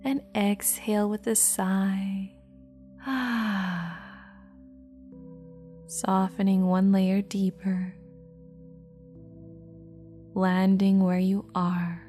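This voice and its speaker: A woman guiding a meditation in a soft, slow, half-whispered voice. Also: mid-range voice, flat delivery, faint music behind. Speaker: meditation guide. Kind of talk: guided meditation.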